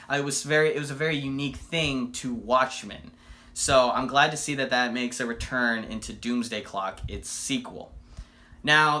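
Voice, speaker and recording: Male comic book reviewer, mid-pitched and forceful, casual and enthusiastic delivery with emphatic phrasing.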